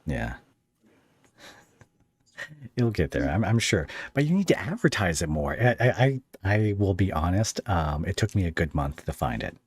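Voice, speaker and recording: A man hosting a casual interview, talking in a rambling, halting and jokey way. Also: deep voice, very animated, breathy edge, no room sound. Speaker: podcast host interviewing a guest.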